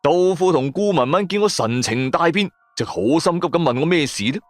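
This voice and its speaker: A man's voice: on the high side, lively intonation, fairly quick, dry recording. A man narrating a first-person horror story for radio in a suspenseful, colloquial manner. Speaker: audio drama narrator. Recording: music under the voice. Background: background music.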